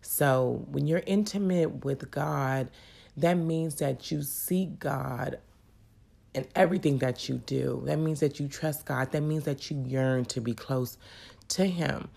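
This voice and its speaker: A woman hosts her faith podcast with a very deep voice full of gravelly fry. She sounds crackly and congested from a cold, and talks in an earnest, personal, encouraging way. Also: takes it slowly, a little mellow. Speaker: podcast host.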